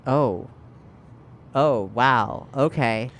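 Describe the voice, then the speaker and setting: A man's voice: mid-range voice, extremely slow, a bit bright. A man chatting informally and inquisitively as a player in a tabletop roleplaying game. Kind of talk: casual improvised game chat.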